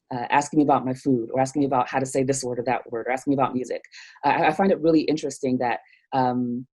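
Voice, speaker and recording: A woman's voice: very deep voice, dark tone, poor audio. A woman born in Nigeria, speaking as a guest in an interview, thoughtful and hesitant, with many fillers and restarts. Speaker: theologian guest. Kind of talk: reflective interview conversation.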